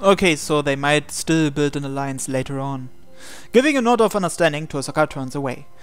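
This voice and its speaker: A man's voice: fairly high pitch, expressive, fairly quick, clean tone, faint music behind. A man hosting a game video, reading visual novel text aloud and adding his own asides. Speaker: gaming video host.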